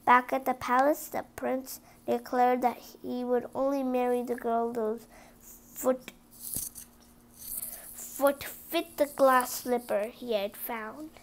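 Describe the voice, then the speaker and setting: A woman's voice: fairly high pitch, steady tone, slow pace, rough recording. A woman reading a children's story aloud, stumbling now and then over the words. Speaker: storybook reader.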